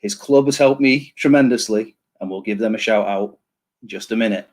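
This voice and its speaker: Guy hosting a chat show, talks fast and loose, builds up his guest. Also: lowish voice, darkish tone. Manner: chatty, hypes the guest, filler-heavy. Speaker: show host. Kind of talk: casual online interview show.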